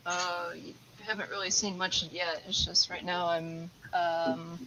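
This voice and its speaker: A woman's voice: on the low side, level tone, measured pace, lo-fi sound, heavy background noise. A woman whose first language is English, a tarantula keeper chatting casually as a guest on a live stream and explaining things at length. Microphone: video call.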